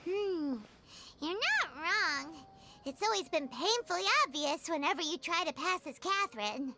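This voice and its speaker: A woman's voice: animated, measured pace, very noisy, exaggerated voice. A woman voicing a tiny, chirpy, blunt fairy girl in a video game, childlike and exclamatory, calling herself by name in the third person. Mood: frank, reassuring. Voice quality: childlike, squeaky. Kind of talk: video game character dialogue. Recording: loud music behind.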